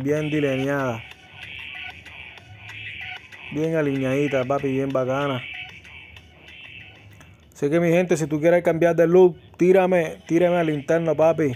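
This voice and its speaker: A man, narrating a haircut in his small barbershop in an excited, slangy way, repeating words for hype. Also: on the high side. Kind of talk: casual haircut vlog narration.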